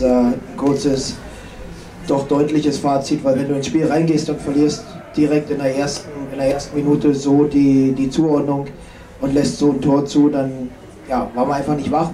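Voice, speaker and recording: Male coach, thirties, halting and self-critical, fairly high but mellow voice in an echoey room. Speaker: ice hockey coach. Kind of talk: post-game press conference analysis. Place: indoor press conference room. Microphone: handheld microphone. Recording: echoey room, distant, faint noise.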